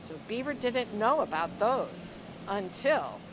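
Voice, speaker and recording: A woman reading a picture book aloud to young children, with expressive, deliberate and dramatic phrasing. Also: a little high, background noise. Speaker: school librarian reading story time.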